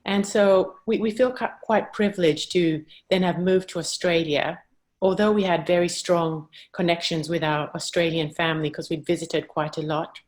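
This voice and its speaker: Thoughtful woman from Zambia. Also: fairly low pitch. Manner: thoughtful, searches for words. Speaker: guest. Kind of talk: casual live-stream conversation.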